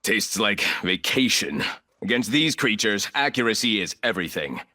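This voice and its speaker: An actor playing an arrogant, ruthless, calculating corporate villain in a video game, speaking in clipped, condescending lines. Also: male voice, lowish voice, sing-song, moderate pace, bright, forceful tone, heavily acted. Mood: dry, disdainful.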